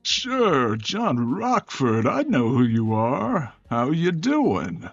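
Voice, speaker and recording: A man voicing a genial but wary older retired male prosecutor in a visual novel, unhurried and folksy. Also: mid-range voice, wildly swooping pitch, creaky, slightly rough, no room sound, muffled recording, soft background music, full character voice. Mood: guarded, friendly. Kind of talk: visual novel character voice acting.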